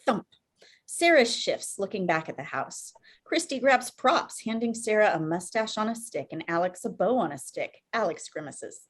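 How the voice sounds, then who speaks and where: A woman's voice: medium pitch, animated, moderate pace. A woman reading scripted stage directions as narrator at a table read over video call.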